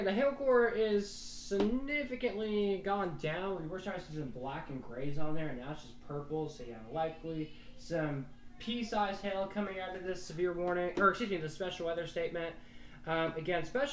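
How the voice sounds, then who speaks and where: A man's voice: sits high, reverberant, far from the mic, slight background noise, poor audio. A young man, a weather forecaster giving casual, rambling live storm commentary on a stream.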